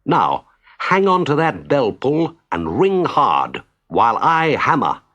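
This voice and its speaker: A man voicing a good-natured, practical water rat in a children's story, brisk and emphatic. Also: mid-range voice, huge pitch swings, fairly bright tone, dull audio, a little hiss or hum, voice pushed up, heavily acted. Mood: urgent. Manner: brisk, emphatic, well-mannered.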